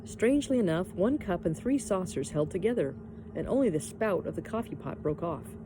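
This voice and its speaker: A woman's voice: fairly low pitch, expressive, fairly quick, noisy background. A woman narrating a children's story expressively, like a teacher reading aloud.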